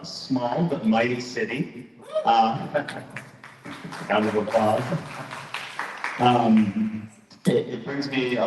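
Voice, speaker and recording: A man serving as mayor, welcoming a town meeting in a halting way, with many fillers and restarts. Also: fairly low pitch. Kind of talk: public welcome speech. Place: large hall at a town meeting. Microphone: microphone that fails partway. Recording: echoey hall, voice from far off.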